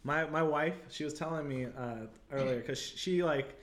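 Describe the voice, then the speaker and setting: A man's voice: a little high, normal speed, a bit bright. A man with a Chicago accent hosting a live online interview show, chatting casually and upbeat, full of fillers like "like" and "totally". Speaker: livestream show host.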